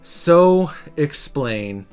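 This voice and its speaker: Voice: mid-range voice, animated, very slow, darkish tone, clean tone, faint music behind. A game streamer voicing an earnest, exasperated young magic student in a visual novel, with emotional delivery. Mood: weary, annoyed.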